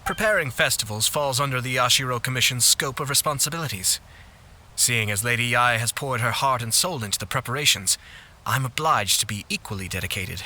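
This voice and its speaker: A man's voice: mid-range voice, a little fast, bright, forceful tone, slight background noise. A man playing a courteous, dutiful young noble official in a video game, speaking formally and with composure.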